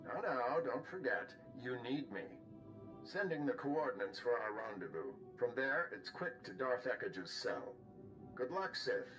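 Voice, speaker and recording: A man's voice: mid-range voice, sing-song, unhurried, over music, poor audio. An actor voicing a sly, self-serving man in a cinematic video game, coaxing and swooping in his emphasis. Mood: coaxing, slippery.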